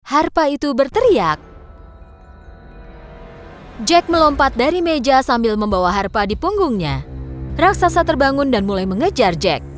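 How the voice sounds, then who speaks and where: A woman storyteller with an Indonesian accent reads a children's tale. Her voice is fairly high and very animated in pitch, with faint music behind it. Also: moderate pace, long pauses. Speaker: storyteller, narrator. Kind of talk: scripted children's story narration.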